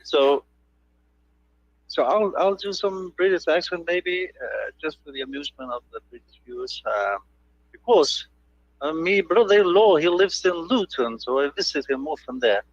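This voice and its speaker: A man's voice: fairly high pitch, lively intonation, normal speed. Hesitant Danish-accented man on a call. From Denmark. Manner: hesitant, modest, many fillers. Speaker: caller. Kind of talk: casual call-in chat. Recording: muffled call audio.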